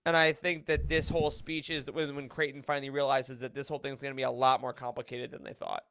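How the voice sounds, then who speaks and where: A man's voice: medium pitch, flat delivery, fast talker, a bit bright, smooth, no room sound. A man discussing a story casually on a podcast roundtable, with an emphatic, informal way of talking. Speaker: podcast panelist.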